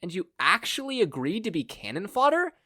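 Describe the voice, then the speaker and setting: Audio story role, male reader: confident woman hero, protective and ready to fight, raised pitch, dry sound. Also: sing-song, a little fast, sharp tone, breathy edge, no echo, full character voice. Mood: challenging. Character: confident, protective heroine, reassuring yet combative adult woman.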